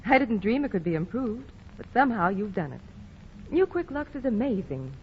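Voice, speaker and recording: A woman's voice: a little high, very animated, moderate pace, slight background noise. A woman reading a 1940s radio commercial in a chirpy, eager way. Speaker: commercial co-announcer. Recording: dull 1940 radio broadcast.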